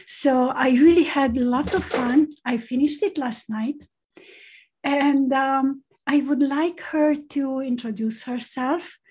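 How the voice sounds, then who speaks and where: A woman's voice: high-pitched, fairly even intonation, takes it slowly, darkish tone. A woman hosting a podcast, introducing her guest in a chatty, effusive way. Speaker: podcast host. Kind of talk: casual interview introduction.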